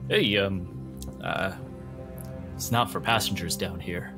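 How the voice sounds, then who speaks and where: A low-pitched, hesitant ship's porter, played by a man in a tabletop game. He is helpful and informal, talking in halting, hedged phrases over faint music. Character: hesitant, helpful male ship luggage worker.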